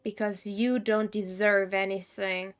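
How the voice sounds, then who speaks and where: Slow-paced woman streaming games, wry and blunt. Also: medium pitch, flat delivery. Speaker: game streamer. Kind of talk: casual live gameplay commentary.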